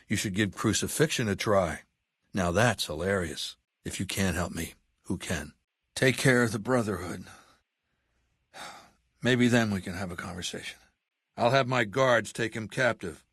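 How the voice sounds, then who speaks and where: A man playing an aging, imperious warlord dictator in a video game, with a measured, commanding and menacing manner. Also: on the low side, fairly bright tone, breathy edge, dry recording, clean studio sound. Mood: irritated, pained. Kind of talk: video game character dialogue.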